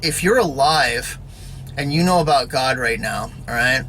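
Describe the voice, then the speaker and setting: A man's voice: medium pitch, a little slow, bright, forceful tone, slight background noise, rough recording. A man giving a casual, rambling spoken sermon, often checking in with "all right?" and "you know".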